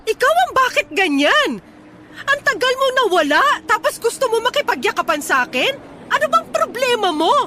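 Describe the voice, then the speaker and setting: Very high, flustered older woman. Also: very animated, moderate pace, noisy background. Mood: alarmed, exasperated. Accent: Filipino. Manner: hesitant, exasperated. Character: older woman, flustered, wary former girlfriend. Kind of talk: Filipino radio drama acting. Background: music.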